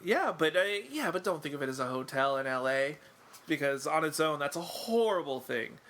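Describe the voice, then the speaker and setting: A man's voice: on the high side, sing-song, normal speed, a bit bright. A man chatting casually as a podcast guest, wry and self-deprecating, rambling with lots of fillers. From England.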